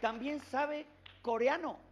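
Middle-aged male presenter, notably high voice, dramatic live show delivery. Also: slow, dull audio, a little hiss or hum. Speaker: host of a TV singing contest. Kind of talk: live stage hosting. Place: TV studio stage. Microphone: handheld microphone. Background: music.

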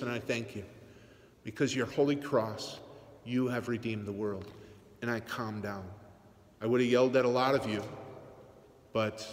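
A priest in his forties preaches in an earnest, measured way, now and then slipping in a light tease. His voice sits at a mid pitch. It rings with the long reverb of a big church, caught by a phone on the pulpit. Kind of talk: sermon. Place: large church sanctuary. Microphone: phone camera on the pulpit, aimed up.

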